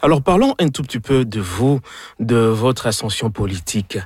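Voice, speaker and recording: A man's voice: mid-range voice, very animated, moderate pace, a little mellow, breathy edge, no echo. A man working as an interviewer, putting formal questions in carefully phrased sentences.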